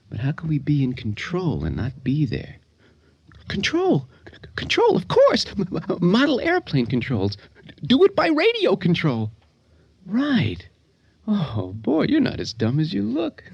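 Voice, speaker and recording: Disturbed plotting son, stammering, gleeful, gravelly, wildly animated pitch, radio drama, dull recording. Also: male voice, no room sound, full character voice. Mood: nervous, excited. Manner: stammering, talking to himself in shifting tones. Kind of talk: old-time radio drama acting.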